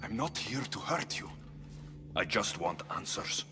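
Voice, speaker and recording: A defiant warrior man on a hunt, in a game cutscene. His delivery is blunt and intense, with very lively intonation, and music plays clearly underneath. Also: lowish voice, a little slow, full character voice. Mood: urgent, resolute. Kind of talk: dramatic video game cutscene acting.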